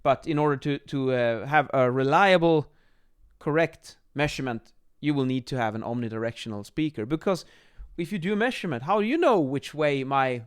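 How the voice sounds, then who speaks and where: A man's voice: mid-range voice, animated, a little fast, clean tone. Man giving an informal technical lesson. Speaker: acoustics instructor, presenter. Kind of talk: informal whiteboard explainer lesson. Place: small home office, indoors. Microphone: microphone on boom arm, close.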